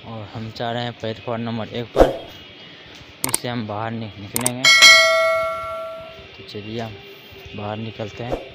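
A man's voice: fairly low pitch, level tone, a little slow, long pauses. A man, narrating a casual travel vlog to viewers in an explanatory, repetitive way. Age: 20s. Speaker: travel vlogger. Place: outdoor railway platforms and footbridges. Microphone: phone at arm's length. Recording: loud music behind the voice.

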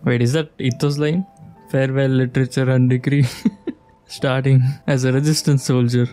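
A woman's voice: extremely low pitch, fairly even intonation, moderate pace, dark tone. A woman doing casual playthrough commentary on a video game, reacting aloud in a teasing, playful way. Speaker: game streamer. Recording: faint game music behind the voice.

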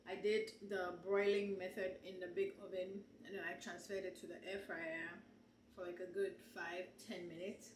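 A woman in her twenties vlogging casually at home, talking to viewers with frequent laughs and animated asides, filmed on a handheld camera. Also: on the low side, moderate pace, echoey room, slight background noise, low-quality recording. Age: 20s. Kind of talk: casual vlog chat. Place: small apartment kitchen and bedroom indoors. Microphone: handheld camera at arm's length.

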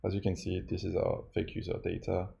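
A man narrating a step-by-step software tutorial as a demo presenter. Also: sits low, monotone, fairly quick, gravelly. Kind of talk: scripted tutorial narration over a screen recording.

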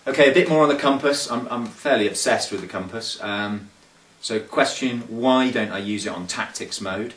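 A man's voice: on the low side, normal speed, a bit bright. A man in his thirties giving casual sailing tips to camera, thoughtful and hesitant, with many fillers and restarts, recorded indoors in a small room on the camera mic. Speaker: sailing coach. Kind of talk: casual instructional talk to camera. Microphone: camera mic from a few feet away. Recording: roomy, distant camera audio.

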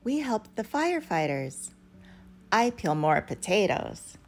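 A woman's voice: medium pitch, sing-song, unhurried. A woman reading a picture book aloud expressively for young children, as a storyteller. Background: background music.

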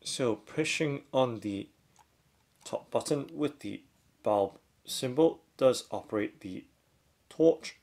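Man, casual product reviewer, gravelly, very slow, halting short phrases, step-by-step demo. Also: mid-range voice, expressive, a little mellow. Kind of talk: casual unboxing and hands-on demonstration.

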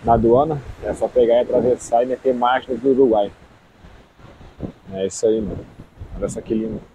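A man's voice: mid-range voice, steady tone, a little fast, mellow, slight background noise, poor audio. A man in his thirties with a southern Brazilian accent, chatting casually and slangily to the camera outdoors as a travel vlogger. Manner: slangy, informal. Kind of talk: casual vlog chat. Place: outdoors on an open beach and dunes. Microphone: action camera at arm's length.

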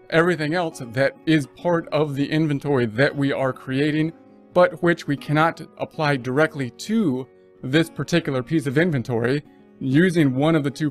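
A man teaching accounting in a casual voice-over lecture, talking it through and restarting phrases as he goes. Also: mid-range voice. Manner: conversational, restarts mid-phrase, thinks aloud. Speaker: accounting instructor.

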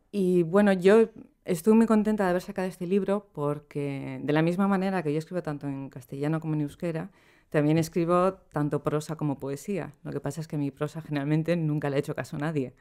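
A woman's voice: sits low, brisk, warm tone, clean tone. Middle-aged woman, reflective and chatty, laughs easily, podcast guest, studio microphone in a small room. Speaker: poet and translator, podcast guest. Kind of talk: relaxed conversational interview. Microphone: studio microphone on boom arm.

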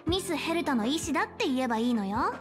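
A high-pitched young female space station chief for a video game. She is polite, self-assured and caring, explaining things in measured, articulate phrases. Faint music plays behind her. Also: lively intonation. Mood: confident, wry. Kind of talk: Japanese game voice acting, explanatory dialogue. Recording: game dialogue over background music.

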